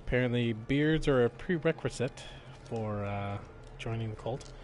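A man's voice: mid-range voice, moderate pace, a little mellow, slight background noise. A man chatting casually as he live-streams a video game. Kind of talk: casual game commentary chat. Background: game audio and music.

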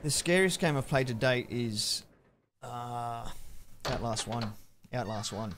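A man's voice: medium pitch, slow, background noise. Laid-back Australian man streaming a game. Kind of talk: casual live-stream chat while gaming. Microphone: headset.

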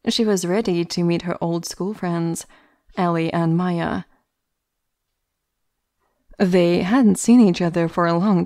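A woman's voice: lowish voice, darkish tone, no room sound, clean studio sound. A woman giving scripted third-person narration for an audio drama.

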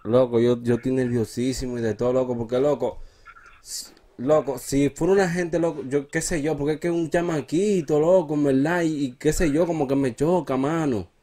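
A man with a Dominican accent hosting a prank show, chatting casually in a slangy, repetitive, halting way. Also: medium pitch, fairly even intonation, mellow. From Dominican Republic. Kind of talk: casual prank phone call and chat. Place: small studio. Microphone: close desk microphone.

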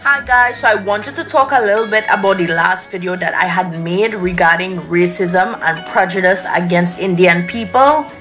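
A young woman speaks to her phone camera held at arm's length, earnest and a little preachy as she addresses her viewers. Her voice sits in a middle range, with some soft music under it. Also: medium pitch. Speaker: content creator. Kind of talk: casual talk to camera. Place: indoor room.

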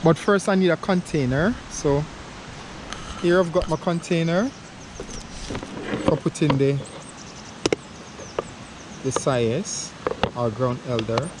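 A man's voice: a little high, slow, pauses often. A man in his thirties, filming a foraging vlog with a handheld camera in a wooded glen, musing aloud in a chatty way. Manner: chatty, muses aloud, laughs at own ideas. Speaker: foraging vlogger. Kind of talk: casual outdoor vlog. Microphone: action camera held at arm's length. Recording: outdoor camera audio with wind and leaf noise. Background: rustling leaves and outdoor ambience.

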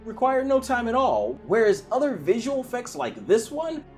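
A man's voice: extremely high pitch, wildly swooping pitch, normal speed. A man in his twenties hosting a project update vlog as an independent filmmaker, speaking to camera with an emphatic, showy presenter delivery. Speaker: independent filmmaker and video channel host. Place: small indoor room at home. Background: music.

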